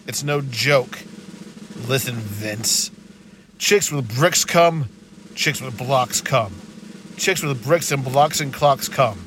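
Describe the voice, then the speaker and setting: A man's voice: mid-range voice, a bit bright, slight background noise, close to an everyday voice. A man playing a barking, cocky military sergeant in a comic audio play, with punchy delivery. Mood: rhythmic patter.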